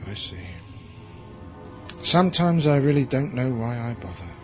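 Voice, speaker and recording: An actor playing a hard, bitter military commander in a science fiction audio drama, with a curt, commanding way of talking. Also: male voice, medium pitch, big pitch swings, unhurried, a little mellow. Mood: weary, irritable. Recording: dull lo-fi audio drama recording, music behind.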